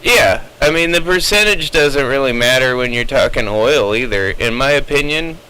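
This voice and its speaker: A man's voice: medium pitch, expressive, normal speed. A man chatting casually over CB radio, explaining his opinions at length in a rambling way. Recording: CB radio chatter with faint noise.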